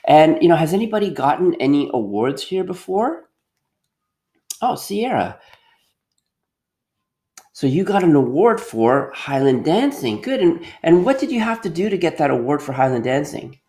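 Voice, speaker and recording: A man's voice: on the high side, very animated, normal speed, a little mellow. A middle-aged man leading an interactive read-aloud for young children as their teacher, encouraging them and repeating his questions. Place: quiet workshop at home.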